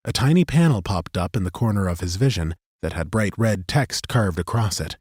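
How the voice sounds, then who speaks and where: Male fantasy audiobook narrator: lowish register, animated scripted read, dry studio. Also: normal speed, slightly rough, no room sound.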